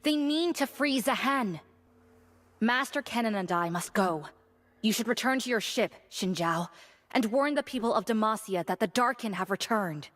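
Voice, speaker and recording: A woman's voice: fairly high pitch, normal speed, a bit bright, natural voice. A woman playing an earnest warrior guardian who gives urgent warnings in scripted game dialogue. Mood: urgent, resolute.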